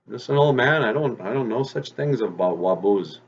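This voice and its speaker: A man voicing a sly trickster rabbit who poses as a harmless old person, with an innocent, halting manner. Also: medium pitch, lively intonation, breathy edge, lo-fi sound. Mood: feigned innocence. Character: sly male trickster rabbit posing as feeble elder.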